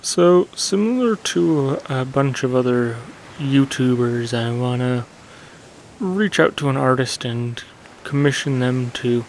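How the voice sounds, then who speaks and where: A man's voice: medium pitch, slow, a little hiss or hum, poor audio. A man hosting his own gaming channel, talking in a casual, meandering way and correcting himself as he goes, with a soothing voice. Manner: meandering, self-correcting with fillers. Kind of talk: casual reflective monologue.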